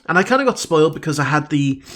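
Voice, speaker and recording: A man hosting a reaction video, talking in a loose, musing way full of ums. His nose sounds stuffed up, and his voice sits a little high for a man. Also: big pitch swings, quick pace, fairly bright tone. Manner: musing, rambling with frequent ums. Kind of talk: casual reaction commentary.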